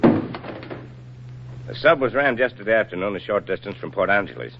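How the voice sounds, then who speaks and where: An actor playing a stern, middle-aged Navy admiral in a 1940s radio drama, speaking in a formal, measured, authoritative way. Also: male voice, fairly low pitch, very animated. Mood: grave, businesslike. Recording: muffled, noisy 1940s radio recording.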